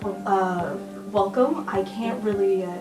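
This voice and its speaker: Female roleplay player, casual improvised delivery, middling pitch, echoey room with clear music behind. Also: unhurried. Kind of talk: casual improvised character description.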